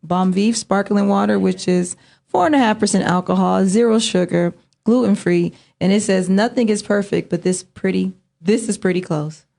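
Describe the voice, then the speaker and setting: A woman with a gravelly, fry-edged voice hosts a relaxed chat around a table. She talks loosely with plenty of fillers, jokes and quick laughs, sitting at a middle pitch for a woman. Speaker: host. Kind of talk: casual chat. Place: indoor set, table of guests.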